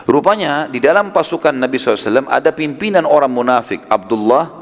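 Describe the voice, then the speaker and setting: A man's voice: medium pitch, expressive, quick pace. A man preaching a religious lecture, conversational and emphatic, with rhetorical questions and casual asides. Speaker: Islamic preacher. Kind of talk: religious lecture retelling prophetic history. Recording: long hall reverb.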